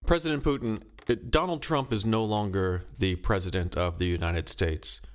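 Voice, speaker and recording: A man's voice: fairly low pitch, normal speed, clear, resonant tone. A man hosting a mock news interview, formal, polite and persistent in his questioning. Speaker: host and interviewer.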